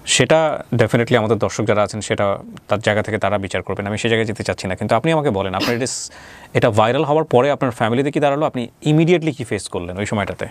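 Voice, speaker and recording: A man's voice: on the low side, fast talker, dry recording. A man with a Bangladeshi accent hosting an interview, putting direct, probing questions. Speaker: interviewer, show host. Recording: clearly noisy background.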